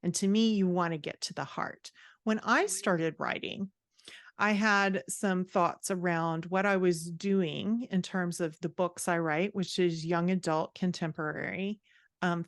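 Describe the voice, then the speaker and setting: A woman's voice: fairly low pitch, no room sound, studio quality. A middle-aged woman giving candid, emphatic advice in a conversational podcast interview as a guest author and writing coach. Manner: candid, emphatic, self-described sassy. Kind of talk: conversational interview answers, explaining and advising.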